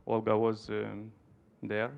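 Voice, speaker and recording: A man's voice: on the low side, completely flat delivery, slow, gravelly, darkish tone, muffled recording. A man from Romania with a Romanian accent, speaking non-native English in an informal conference talk, searching for his words as he goes. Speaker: dance centre founder, conference participant.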